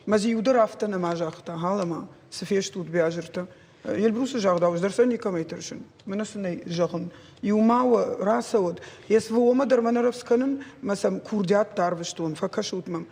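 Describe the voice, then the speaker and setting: A native Ossetian-speaking man with a husky voice, giving a halting, unscripted account. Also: sits high, a little mellow. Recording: very echoey, reverberant room.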